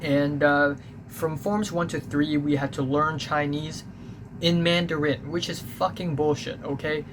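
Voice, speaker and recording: A man's voice: on the high side, level tone, a little slow. Young man with a Hong Kong accent, rambling. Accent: Hong Kong English. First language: Cantonese. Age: young adult. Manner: rambling, self-correcting, swears freely. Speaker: vlogger. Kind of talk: casual storytelling monologue. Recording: noticeable background noise.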